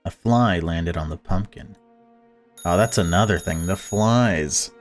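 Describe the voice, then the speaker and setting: A man with a fairly low voice narrates a visual novel. He moves between reading the story and casual let's-play commentary. Faint music plays behind him. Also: unhurried. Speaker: let's player.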